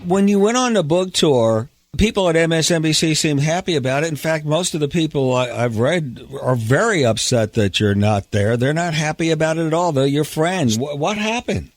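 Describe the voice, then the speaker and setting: A man's voice: a little high, animated, moderate pace, few pauses, no echo, faint music behind. A man hosting a talk radio show, speaking and asking questions in a conversational way.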